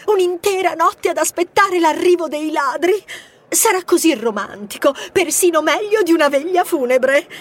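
A man's voice: very high voice, normal speed, a bit bright, pitched above the performer's own voice. A man voicing a sassy, flirty female mouse in a storybook, with a teasing, theatrical manner.

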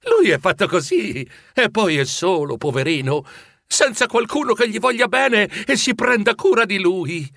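Storybook father, acted by a male reader: kindly, forgiving, cheerful, ringing high voice, very animated. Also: normal speed, creaky, no room sound, clean studio sound, exaggerated voice. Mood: compassionate. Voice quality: ringing, bright tone.

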